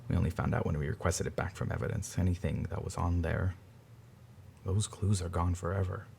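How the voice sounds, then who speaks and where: A man playing a grieving older brother who speaks quietly and haltingly. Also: low-pitched, steady tone, creaky, a little hiss or hum. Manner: halting, quiet, restarts under strain.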